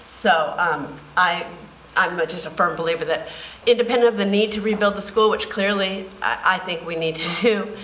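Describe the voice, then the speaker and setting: A woman's voice: lowish voice, normal speed, few pauses, fairly bright tone, slight background noise. A woman speaking earnestly and with conviction at a public meeting. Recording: echoey meeting room.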